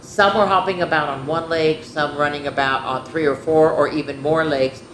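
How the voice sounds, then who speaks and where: A woman's voice: low-pitched, steady tone, moderate pace, fairly bright tone. A woman hosting a children's story time, reading picture books aloud in a playful, chatty way with rambling asides to her viewers. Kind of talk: informal picture-book read-aloud and show-and-tell to children. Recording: echoey room with clear background noise.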